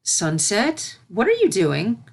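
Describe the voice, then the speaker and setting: Woman as a concerned, higher-voiced pony princess. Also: wildly swooping pitch, slow pace, gravelly, exaggerated voice. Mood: worried. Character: caring adult pony princess, mentor to a filly.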